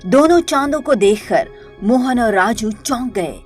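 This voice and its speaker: A woman reading scripted narration for an animated moral story as its storyteller. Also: on the high side, unhurried, faint music behind.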